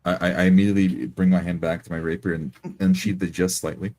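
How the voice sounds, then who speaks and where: A man improvising first-person roleplay as a tabletop game player, in halting phrases with repeated words. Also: sits low, little pitch movement, mellow.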